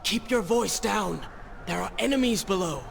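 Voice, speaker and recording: An actor playing a proud, determined young warrior prince in a video game, earnest and intense with formal diction. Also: male voice, high-pitched, lively intonation, normal speed, gravelly, noisy background, exaggerated voice. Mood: wary. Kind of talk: dramatic video game acting.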